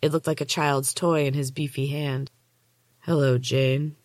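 A woman reading a first-person story aloud as author and host, in a measured, hushed, dramatic narrating style. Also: low-pitched, little pitch movement, dry recording, studio quality. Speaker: author and podcast host reading own book.

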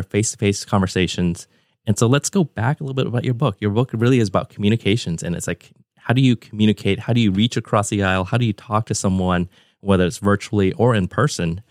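A man's voice: on the low side, fast, no room sound, clean studio sound. A man of about forty hosting a podcast interview over a remote call, talking in a conversational, enthusiastic and discursive way. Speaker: podcast host.

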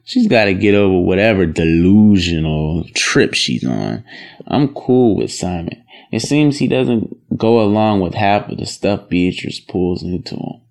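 Gravelly, low-voiced young farmer played by a man. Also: expressive, unhurried, a little mellow, full character voice. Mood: dismissive. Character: devoted young farmer husband, plain-spoken and proud. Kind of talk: audio drama acting.

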